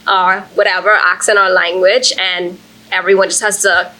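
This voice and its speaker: Woman panelist with a bright, crisp voice. Casual and chatty, full of fillers. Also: mid-range voice, little pitch movement, normal speed, poor audio. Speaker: panelist, archive founder. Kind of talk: conference panel discussion. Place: conference session room.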